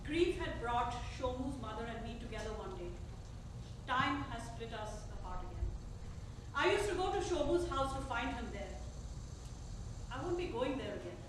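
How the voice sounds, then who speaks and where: Stage drama: actress as restrained grieving mother, searching, higher-voiced; roomy, noisy theater recording. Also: big pitch swings, normal speed. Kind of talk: staged reading of a play. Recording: roomy theater, distant mic, clear noise.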